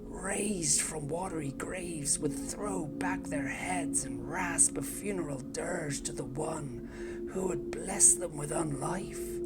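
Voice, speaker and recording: Brooding plague-cult zealot, played by a woman: deep, slow, whispery first-person monologue over a loud score. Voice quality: hushed, often whispering. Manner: intense, brooding, slow and deliberate. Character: embittered orphan turned devoted plague-god cultist. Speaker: audio drama narrator-character. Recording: loud music score underneath. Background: loud music.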